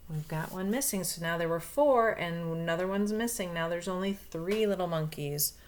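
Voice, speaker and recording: A woman's voice: fairly low pitch, moderate pace. A woman reading a picture book aloud to young children, expressively, with explanations and questions along the way. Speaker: storybook reader.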